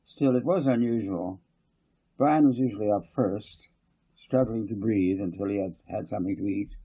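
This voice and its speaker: A man's voice: on the low side, vocal fry, warm tone, slightly rough, low-quality recording. A man reading a story aloud as a scripted audiobook narrator. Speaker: author reading own book as narrator.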